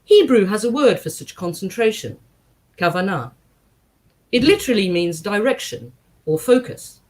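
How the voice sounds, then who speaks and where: A woman reading aloud to a congregation in an expressive style, with measured, deliberate phrasing and emphatic pauses. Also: mid-range voice. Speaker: guest reader at a synagogue service. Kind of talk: expressive book reading with short spoken introduction.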